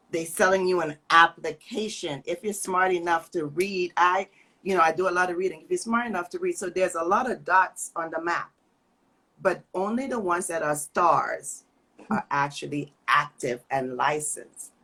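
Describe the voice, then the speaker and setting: Brief: middle-aged Trini woman, husky low-ish voice, emphatic and fed up. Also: fairly bright tone. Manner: frustrated, blunt and emphatic. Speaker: cannabis business owner and co-host. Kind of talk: casual livestream chat. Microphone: video call.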